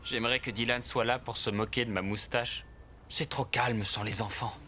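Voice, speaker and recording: Male actor as a cheerful bearded scientist, expressive, bright tone. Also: mid-range voice, moderate pace, a little hiss or hum, natural voice. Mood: wistful. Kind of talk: French cartoon dub.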